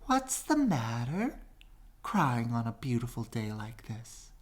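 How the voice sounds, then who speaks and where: A man voicing friendly young swan chicks in a bedtime story, coaxing and bright. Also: medium pitch, wildly swooping pitch, slow, heavily acted.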